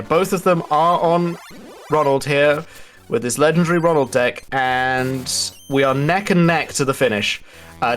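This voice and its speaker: A man's voice: fairly high pitch, a bit bright. A man giving casual live commentary on a video game speedrun race.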